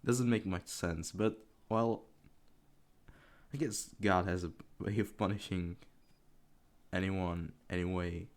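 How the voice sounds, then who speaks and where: Guy, 18, deep creaky voice, rambling gameplay commentary, swears a lot, laughs at himself. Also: steady tone, slow. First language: Czech. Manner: rambling, self-deprecating, swears casually, laughs often. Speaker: gaming commentary YouTuber.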